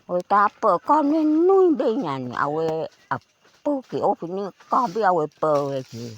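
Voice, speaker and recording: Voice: medium pitch, very animated, extremely slow. A person telling a folk tale aloud in a conversational way. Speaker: folk storyteller.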